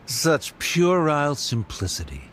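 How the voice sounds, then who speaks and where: A man's voice: medium pitch, fairly bright tone, slight background noise, full character voice. A man playing a stern, haughty figure in a video game, formal and condescending. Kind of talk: scripted video game dialogue.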